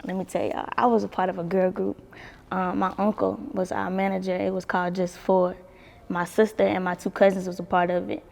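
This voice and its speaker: A young woman talks loosely in an interview, swearing freely and laughing often. Her voice sits a bit low and carries a gravelly edge. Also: little pitch movement, fairly quick, darkish tone, long reverb tail. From Baton Rouge, Louisiana. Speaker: singer, interview guest. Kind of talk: casual interview chat.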